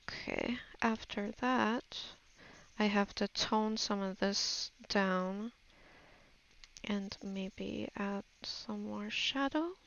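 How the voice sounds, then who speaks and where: Woman, slow pace, fry in the voice, casual think-aloud tutorial, hesitant, musing. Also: mid-range voice, low-quality recording. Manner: musing, hesitant, talking half to herself. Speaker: digital artist giving a tutorial.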